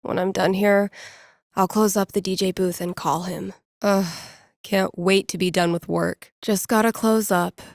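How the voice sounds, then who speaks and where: An actress playing a wry, nerdy young record-store clerk and radio DJ in a video game, muttering to herself in a dry, sardonic, conversational way. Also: female voice, mid-range voice, fairly even intonation, unhurried, creaky, no echo. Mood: casual, preoccupied. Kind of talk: naturalistic video game voice acting.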